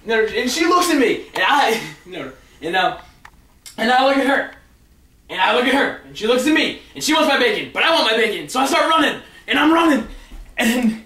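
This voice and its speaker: High-pitched excitable man telling stories, echoey. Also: fairly quick. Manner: excitable, rambling, dramatic build-ups with shouts. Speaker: storyteller. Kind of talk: animated comic storytelling. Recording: roomy echo, far from the mic.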